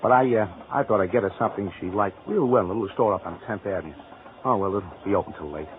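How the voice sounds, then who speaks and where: On a tinny, slightly noisy old radio recording, a man plays an earnest American store employee. His voice sits a little low, and he speaks plainly and sincerely. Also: fast talker, close to an everyday voice. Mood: easygoing. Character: earnest, anxious young male store employee. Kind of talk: 1940s radio acting.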